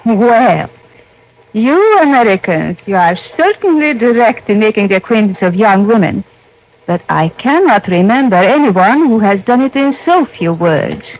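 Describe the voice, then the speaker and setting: A woman's voice: a little high, expressive, measured pace. An actress playing a poised young Swiss policewoman, speaking formally and courteously in old-time radio style. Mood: gracious, amused. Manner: formal, courteous, careful phrasing. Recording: muffled old radio recording.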